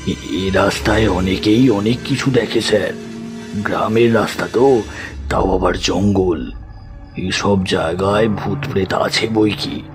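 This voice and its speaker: An actor playing a deferential, superstitious village servant, humble and respectful, in a horror audio drama. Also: male voice, low-pitched, unhurried. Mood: earnest, ominous. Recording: loud music over the voice.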